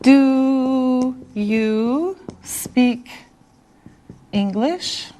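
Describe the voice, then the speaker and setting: A woman teaching an English class, speaking slowly and deliberately with clear enunciation. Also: sits high, dark tone. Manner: slow and deliberate, drawing out words.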